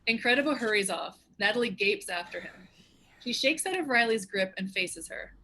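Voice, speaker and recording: A woman reads stage directions as the narrator of a script. Her voice is bright and forceful at a middling pitch, in a scripted narration style. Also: lively intonation, moderate pace, breathy edge, rough recording.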